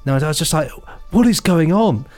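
Enthusiastic, higher-voiced man chatting. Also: lively intonation, normal speed, a little mellow, soft background music. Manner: enthusiastic, jokey. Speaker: podcast co-host. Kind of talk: casual chat.

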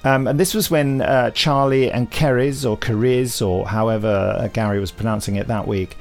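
A man's voice: mid-range voice, normal speed, soft background music. A man hosting a podcast, chatting casually in a rambling, wry way with many digressions. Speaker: podcast host. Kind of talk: casual podcast chat with readings.

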